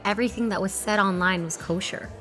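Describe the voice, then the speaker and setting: A woman's voice: mid-range voice, quick pace, fairly bright tone, natural voice. A woman playing a guarded, level-headed operative in a naturalistic drama, dry and pointed. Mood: skeptical. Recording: music under dialogue.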